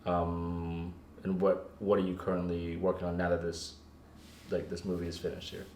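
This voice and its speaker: A man's voice: low voice, monotone, normal speed, a little mellow. A middle-aged man co-hosting a podcast, joking and rambling with plenty of laughs. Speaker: podcast co-host. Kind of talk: casual podcast chat. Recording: echoey room, faint noise.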